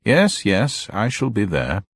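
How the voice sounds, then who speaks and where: Man putting on a querulous, fussy old gentleman, raised pitch. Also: sing-song, slow pace, creaky, slightly rough, dry recording, clean studio sound, full character voice.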